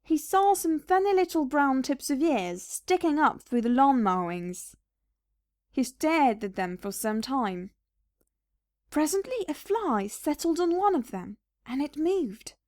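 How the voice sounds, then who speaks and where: High, animated woman storyteller. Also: measured pace, no echo, clean studio sound. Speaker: storybook narrator. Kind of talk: children's read-aloud scripted narration.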